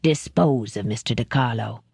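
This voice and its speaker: A refined, sadistic crime boss with a low, hushed voice that sinks well below the reader's own, as a woman narrator puts him on. He speaks with soft, clipped menace in a dry, close audiobook recording. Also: normal speed, creaky, husky, no echo, exaggerated voice. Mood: cold menace.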